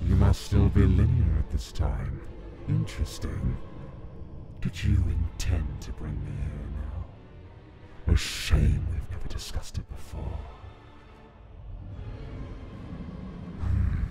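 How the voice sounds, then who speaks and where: A man voicing an ancient deathless monster, coolly menacing and mocking, speaking deliberately and cryptically in a comic dub. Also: rumbling low, vocal fry, loud music behind the voice, exaggerated voice. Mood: coolly curious. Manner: slow, deliberate, cryptic, condescending. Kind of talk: dramatic comic dub voice acting.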